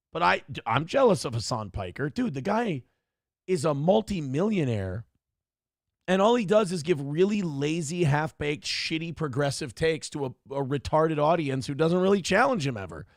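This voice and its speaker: A man hosts his own livestream in a casual, profane, off-the-cuff way, reading viewer messages aloud. His voice sits a little high, with a gravelly edge, and swings widely up and down. The sound is dry and close. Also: no room sound. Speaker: streamer and show host.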